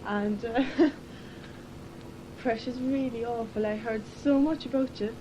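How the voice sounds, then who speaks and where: A chatty, giggly girl in her late teens with a Cork Irish accent, teasing her friend on a home tape letter. Her voice sits a little high. A loud cassette hiss runs under everything. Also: measured pace, dull audio. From Ireland. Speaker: schoolgirl recording a tape letter. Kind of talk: casual chat recorded on a home cassette. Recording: hissy 1980s cassette tape.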